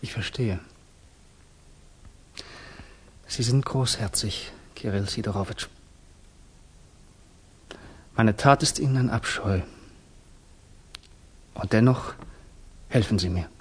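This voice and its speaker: An actor in a 1960s German radio drama playing an idealistic young Russian revolutionary, earnest, fervent and trusting. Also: male voice, lowish voice, slow pace, long pauses, slightly rough, exaggerated voice. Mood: hushed, moved.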